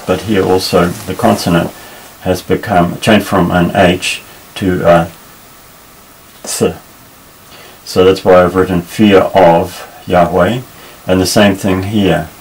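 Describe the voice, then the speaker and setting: A man teaching a Bible Hebrew lesson at a table, explaining patiently with many pauses and restarts. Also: low voice, a little hiss or hum, low-quality recording. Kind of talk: unscripted tabletop lesson, explaining while pointing. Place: indoors at a table.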